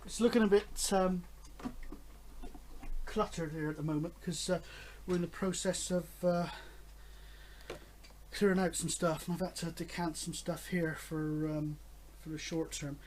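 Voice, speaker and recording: High-voiced man in his fifties, chatting casually. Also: steady tone, unhurried, a little hiss or hum. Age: 50s. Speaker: host of a radio hobby vlog. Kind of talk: casual, rambling chat to camera.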